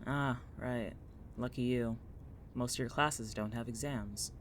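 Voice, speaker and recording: A guarded young male college student played by a male actor in an audio drama, sardonic and deadpan. His voice sits at a middling pitch, with a faint rumbling noise behind it. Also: a little slow, natural voice. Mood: guarded, dry. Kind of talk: naturalistic audio drama acting.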